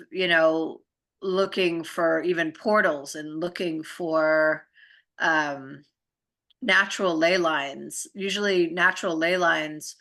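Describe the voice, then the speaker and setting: A woman with a notably low voice that still sounds bright and forward. She explains her ideas conversationally and earnestly, rambling informally and often checking in with the listener. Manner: informal, earnest, rambling with frequent tag questions.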